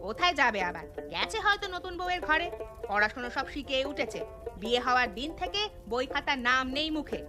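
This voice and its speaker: A woman voicing a bustling, sharp-tongued but caring mother in a cartoon, with a bossy, motherly manner. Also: high-pitched, animated, fairly quick. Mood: sarcastic. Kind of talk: cartoon dialogue. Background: background music.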